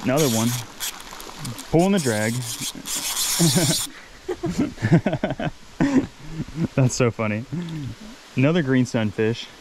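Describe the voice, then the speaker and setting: An enthusiastic man talks through a fishing trip at a rocky waterfall pool, chatty and quick to laugh. His voice sits in a middle range, picked up by a camera he wears, with faint outdoor noise around him. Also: mid-range voice, sing-song. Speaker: fishing channel host. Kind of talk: excited outdoor vlog commentary. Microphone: head-mounted camera mic.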